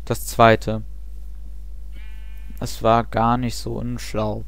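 A man's voice: medium pitch, monotone, extremely slow, vocal fry, no echo. A young man chatting casually and rambling with self-mocking asides while he plays a game. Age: young adult. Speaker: let's play host. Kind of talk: casual gameplay commentary.

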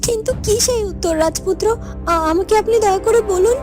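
A woman acts a gentle village maiden for a fairy-tale cartoon. The voice is extremely high and girlish, polite and earnest, with a music bed behind it. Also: fairly quick.

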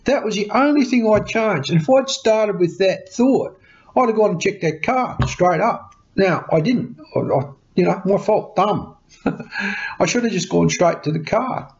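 Middle-aged Aussie man, high-ish voice, head cold, casual rambling tech vlogger, muffled webcam sound. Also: measured pace. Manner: chatty, repeats words, animated hand gestures. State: has a cold. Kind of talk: casual rambling explanation to camera. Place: small cluttered home workshop room. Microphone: webcam at desk. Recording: dull lo-fi webcam audio.

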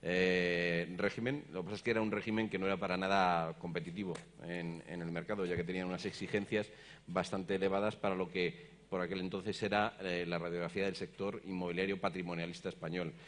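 A middle-aged man sits on a conference stage, moderating a panel. His voice is low and deep, and he explains hesitantly, often stumbling over repeated words. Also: flat delivery, fairly quick, a bit bright. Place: conference stage, seated in an armchair.

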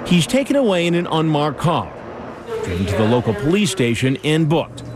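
A man's voice: on the high side, sing-song, normal speed, crowd noise. Man narrating a crime documentary.

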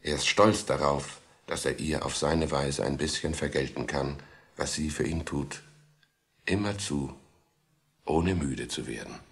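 A storyteller in a children's radio play. His voice is extremely low, with a creaky, gravelly grain. He speaks directly to young listeners, measured, wry and sympathetic. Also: full character voice. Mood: tender, earnest. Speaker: narrator.

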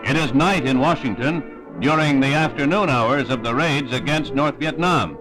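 A man's voice: medium pitch, moderate pace, low-quality recording. An American man reading scripted 1960s newsreel narration in a formal, emphatic manner. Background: music.

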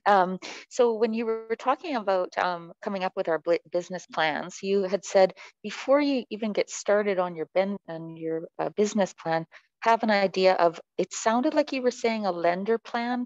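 A woman asks a question in a live webinar, polite and halting with frequent restarts. Her middle-pitched voice comes through dull, lo-fi call audio with no room sound at all. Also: a little mellow, no echo. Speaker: webinar participant reading a question. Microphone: video call.

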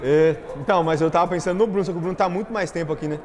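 A man's voice: fairly high pitch, rapid-fire, big-room sound, background noise. A man, a footballer bantering, teasing and swearing in a guessing game, in an indoor gym. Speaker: football player, quiz contestant.

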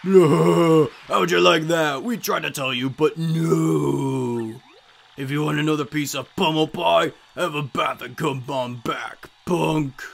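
A man voicing a gloating armored monster brawler in a video game, loud and drawn-out in his taunts. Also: a little high, vocal fry, full character voice.

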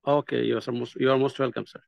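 Gravelly, hesitant man from Bangladesh. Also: mid-range voice, little pitch movement, quick pace, no echo. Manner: hesitant, many fillers, broken phrasing. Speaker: call participant. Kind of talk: halting chat on a video call. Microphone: video call. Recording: dull video call audio.

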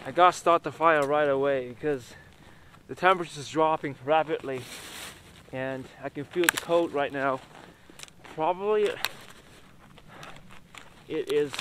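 Slow-talking man on a noisy outdoor recording. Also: fairly high pitch. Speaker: camping vlogger. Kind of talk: casual talk to camera while working. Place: outdoors in snow by a tent. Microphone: camera a few steps away. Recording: noisy outdoor recording, clicks and handling sounds.

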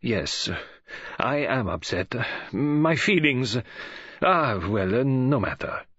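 A man voicing a fastidious elderly foreign detective who speaks in a slow, formal, precise way and uses French phrases. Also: mid-range voice, big pitch swings, fairly bright tone, breathy edge, no room sound, exaggerated voice. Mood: mournful. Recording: dull lo-fi audiobook recording.

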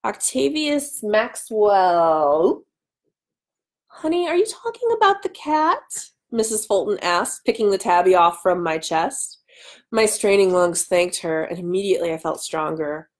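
A woman in her thirties reads a first-person story aloud. She acts out the dialogue as she goes, at a middle pitch. It is recorded on a webcam mic in a small room at home. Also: big pitch swings, measured pace. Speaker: author and host. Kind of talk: animated live reading of a first-person story. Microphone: webcam mic at arm's length.

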